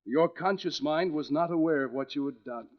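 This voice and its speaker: Radio mystery role: intense accusing male played by a man, fairly high voice, deliberate theatrical delivery, muffled vintage sound. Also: close to an everyday voice. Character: intense, accusing man with cold, insistent menace. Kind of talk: 1940s radio mystery acting. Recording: dull vintage 1940s radio recording.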